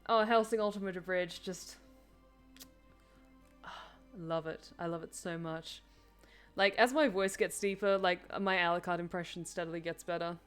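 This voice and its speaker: A woman's voice: on the low side, steady tone, normal speed, smooth, soft background music. A woman streaming a game, chatting casually with her viewers, self-deprecating and talkative. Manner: chatty, self-deprecating, reads chat aloud.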